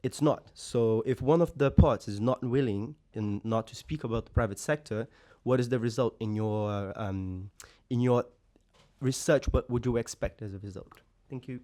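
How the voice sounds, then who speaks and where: A man's voice: mid-range voice, moderate pace, warm tone. A young man, a doctoral student, asking a careful question after a lecture, searching for words. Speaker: PhD student. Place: lecture room.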